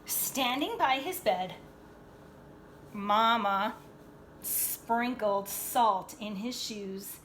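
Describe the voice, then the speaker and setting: A woman's voice: a little high, extremely slow, pauses often, a bit bright, slight background noise. A woman reading a storybook aloud to children expressively, with chatty, teacherly asides, questions and emphatic phrasing. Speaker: storybook read-aloud reader.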